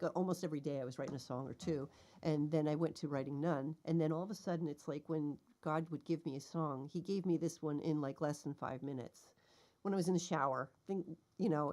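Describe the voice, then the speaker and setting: A woman, a guest singer-songwriter, chatting casually and telling an animated story with laughs. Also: fairly low pitch.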